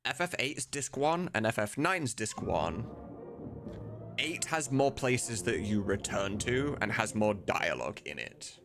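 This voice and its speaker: A man's voice: on the low side, sing-song, measured pace, fairly bright tone. A man streaming casually as he works, thinking aloud in an informal, sweary way. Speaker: streamer and mod voice director. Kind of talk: casual livestream chat while working. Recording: music behind the voice. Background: music.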